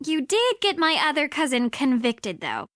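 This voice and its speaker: A prickly female rival in a video game, high and sharp-edged. She is haughty and sardonic, her pitch swinging as she grudgingly speaks. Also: wildly swooping pitch, moderate pace, no echo, studio quality, full character voice.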